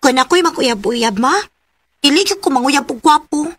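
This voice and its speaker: High-pitched earnest schoolgirl, Cebuano accent. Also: expressive, normal speed, fairly bright tone, dry recording. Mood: earnest, chatty. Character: earnest, dutiful teenage schoolgirl daughter. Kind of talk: radio drama acting in Cebuano.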